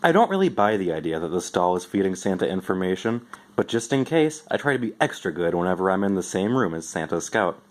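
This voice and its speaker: Low-voiced man reading a storybook. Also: big pitch swings, fast talker, hardly any pauses. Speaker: amateur reader.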